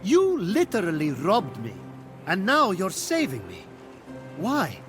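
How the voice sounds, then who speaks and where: A high, expressive young male voice over music, playing an earnest game hero. He speaks slowly and sincerely with a wide-eyed quality. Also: full character voice. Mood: incredulous. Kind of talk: dramatic video game character acting.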